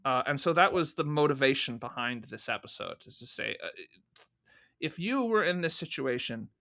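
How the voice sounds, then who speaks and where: A man being interviewed as a guest, explaining his creative choices in a thoughtful, articulate but halting way, stressing key words. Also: mid-range voice, lively intonation, gravelly, sharp tone. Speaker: interview guest, audio drama producer and writer.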